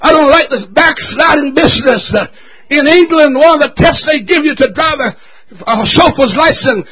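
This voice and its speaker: A man preaching an impassioned sermon in church, shouting with his voice breaking from emotion. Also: very high voice, normal speed, rough recording. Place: church service.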